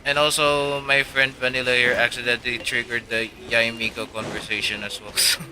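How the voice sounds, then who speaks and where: A man's voice: medium pitch, flat delivery, fairly quick, a crowd in the background, poor audio. A young man chatting casually on a live game stream with friends, slangy, rambling and jokey. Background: faint game music.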